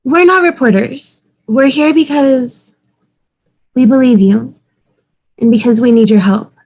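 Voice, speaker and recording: A woman's voice: sits high, unhurried, a little mellow. A woman playing a polite, earnest, composed young woman in an amateur table read.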